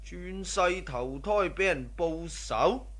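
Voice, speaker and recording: An actor playing a greedy, ruthless, boastful robber in a radio drama. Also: male voice, a little high, slow, a bit bright. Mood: scornful, boastful. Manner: boastful, sneering, swaggering. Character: cocky, greedy, ruthless male robber scoffing at superstition. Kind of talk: Cantonese radio drama acting.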